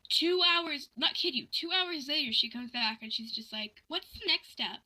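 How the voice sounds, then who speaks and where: A young woman chatting casually, telling a story in an animated, ranting way and acting out both sides of conversations. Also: high voice, moderate pace, low-quality recording.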